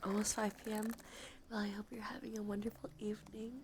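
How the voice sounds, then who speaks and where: Creaky, whispery woman ASMR streamer. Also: mid-range voice, level tone, quick pace, darkish tone, slight background noise. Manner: breathy, frequent drops into whisper; casual, sweary, affectionate. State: sniffly from allergies; sore, tired throat. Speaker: livestream host. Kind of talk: soft ASMR livestream chat, often whispering.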